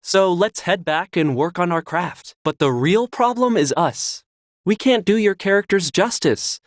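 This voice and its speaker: An actor playing an earnest, self-critical stage performer in conversational game dialogue. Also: male voice, high voice, expressive, a little slow, dry recording, clean studio sound. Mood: resigned, encouraging.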